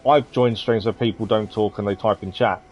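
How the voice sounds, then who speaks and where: A man's voice: lowish voice, fairly even intonation, a little fast. A man live streaming a game, talking casually with his chat in a conversational, explanatory way. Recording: slightly dull mic over game music.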